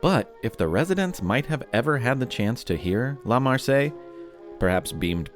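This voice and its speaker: A man narrates for young listeners with highly animated, swooping intonation. His delivery is playful and theatrical, dotted with wry asides, and faint music plays underneath. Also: moderate pace. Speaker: podcast host. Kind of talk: scripted narration for a children's history and quiz show.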